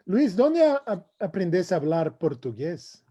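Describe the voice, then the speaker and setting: A man's voice: sits high, sing-song, a little slow, dark tone, highs cut off, slight background noise. A man on a video call chatting casually, giving short encouraging replies. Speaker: conference participant. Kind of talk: casual chat.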